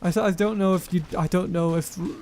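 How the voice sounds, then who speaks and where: Young man, monotone, high voice, rambling game commentary, faint game sounds behind. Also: fast, slight background noise. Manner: rambling, self-correcting, casually profane. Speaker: gaming YouTuber. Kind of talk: gameplay commentary reading viewer comments.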